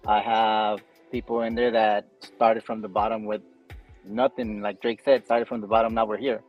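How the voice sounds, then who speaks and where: A man's voice: lowish voice, flat delivery, moderate pace, muffled recording, faint music behind. A man from Guatemala, a podcast guest talking earnestly and openly in casual conversation. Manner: earnest, open about personal struggles.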